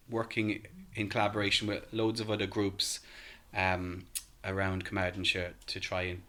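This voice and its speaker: A man in his thirties from Ireland with an Irish accent, a podcast guest talking casually with many pauses and restarts. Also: sits low, pushed and bright. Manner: hesitant, many ums and restarts.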